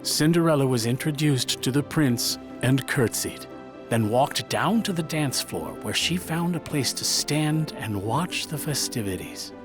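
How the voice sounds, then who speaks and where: A man narrating a scripted children's fairy tale in an expressive, dramatic storytelling manner. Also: mid-range voice, measured pace. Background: music.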